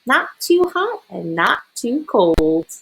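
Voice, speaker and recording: A woman voicing an expressive, fussy and curious young girl in a children's storybook. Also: a little high, slow, gravelly, husky, pitched above the performer's own voice, full character voice, low-quality recording. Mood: picking and choosing.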